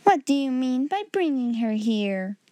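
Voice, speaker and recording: A woman voicing a cold, domineering schoolmistress with a sharp, scolding manner, in a storybook reading. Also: high voice, sing-song, a little slow, no room sound. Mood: cold, commanding.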